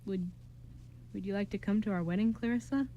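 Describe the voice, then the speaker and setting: A woman's voice: mid-range voice, brisk, dark tone, muffled recording, a little hiss or hum, natural voice. A woman voicing an awkward, earnest, easily embarrassed young male magic user with a hesitant stammer in an amateur radio drama. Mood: awkward, touched.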